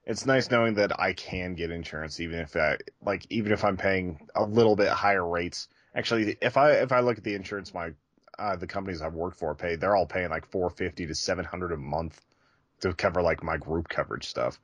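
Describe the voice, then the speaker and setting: A man with a low voice talks candidly in casual podcast conversation. The sound is dry and close, and his delivery is conversational and a little self-deprecating. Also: fairly quick, a bit bright, dry recording, muffled recording. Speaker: podcast co-host.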